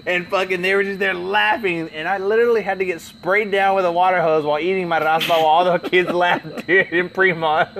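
A man telling profane stories in casual chat, animated and laughing through them. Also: sits high, brisk, hardly any pauses, a little hiss or hum. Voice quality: gravelly, swears constantly. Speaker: podcast co-host telling stories.

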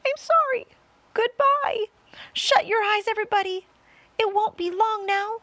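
A woman's voice: a little high, big pitch swings, normal speed, creaky, no echo, full character voice. A woman voicing an earnest, commanding young boy in a storybook, speaking with urgent exclamations. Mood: panicked.

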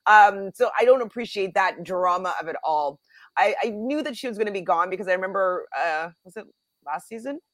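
A woman's voice: mid-range voice, animated, a little fast, fairly bright tone, dry recording. A woman chatting casually and giving her informal opinions as a panelist on a recap show. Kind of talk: casual chat.

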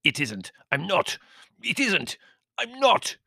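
A male narrator plays a proud Greek manservant from a storybook. He is formal and solemn with a fierce temper underneath. His delivery is emphatic and moves widely in pitch. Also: big pitch swings, measured pace, fairly bright tone, no room sound, clean studio sound. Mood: indignant rage.

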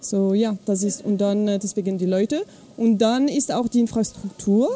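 A woman with a Swiss French accent, a native French speaker, chatting as an interview guest and often pausing to search for words. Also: medium pitch, fairly even intonation, warm tone. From French-speaking Switzerland. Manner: halting, searches for words, many fillers. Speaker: guest, travel blogger. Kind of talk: casual interview chat. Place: outdoors at an evening camp.

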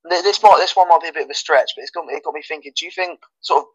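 A man's voice: on the high side, racing, fairly bright tone, breathy edge, muffled recording. A man hosting a casual podcast, asking chatty questions with some stumbles. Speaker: podcast host.

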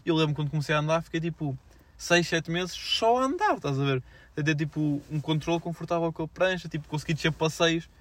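A man's voice: a little high, fast, fairly bright tone, no echo. A young man with a European Portuguese accent chatting casually as a podcast co-host, with slangy, filler-heavy speech. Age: young adult. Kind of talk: casual unscripted chat.

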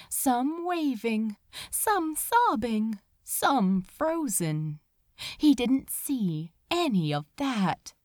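A woman reading a story aloud as dramatic literary narration, emotive, with drawn-out phrases and pauses. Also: on the high side, darkish tone, no echo, clean studio sound. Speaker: audiobook reader of fan fiction.

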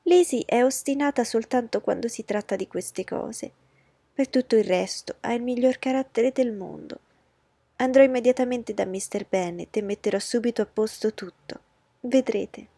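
A woman voicing an agitated middle-aged mother who talks high and fast in alarm. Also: mellow, breathy edge, no room sound. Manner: high-pitched, agitated, pleading.

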